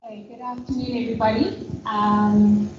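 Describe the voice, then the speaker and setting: A woman's voice: on the high side, level tone, moderate pace, highs cut off. A woman from Zimbabwe, a poet, introducing herself on a panel in a spirited, rousing way. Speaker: poet and budget analyst. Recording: echoey, noisy hall with crowd.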